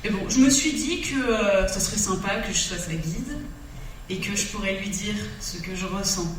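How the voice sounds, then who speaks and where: A woman's voice: medium pitch, animated, normal speed, distant mic, slight background noise. Theatrical woman in an echoey hall. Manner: theatrical, breaks into song. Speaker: stage performer. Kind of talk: comic storytelling monologue with songs. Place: theatre stage. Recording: echoey hall recorded from afar.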